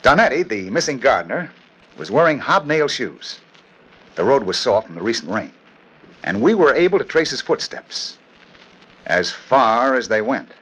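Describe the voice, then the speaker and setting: A man's voice: mid-range voice, big pitch swings, normal speed, fairly bright tone, husky, low-quality recording. A man playing a harried, worried police commissioner in an earnest, emphatic 1940s radio style. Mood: troubled, baffled.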